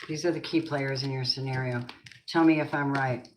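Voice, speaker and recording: A woman's voice: very deep voice, steady tone, normal speed. Older lady tarot reader, chatty and rambly, calls everyone babies, noisy stream with clicks. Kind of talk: live-streamed tarot reading.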